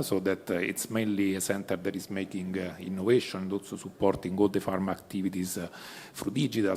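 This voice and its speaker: A man from Italy with an Italian accent, speaking as a panelist at a business forum and pausing often on fillers. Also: lowish voice, darkish tone. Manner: hesitant, many fillers, non-native phrasing. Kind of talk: panel discussion. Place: club event hall.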